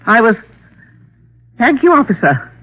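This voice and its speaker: Tense housewife, tinny old radio thriller. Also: female voice, on the high side, big pitch swings. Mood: nervous. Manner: dramatic, deliberate storytelling. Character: tense, frightened housewife recounting her ordeal. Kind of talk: 1940s radio suspense acting, first-person narration and dialogue.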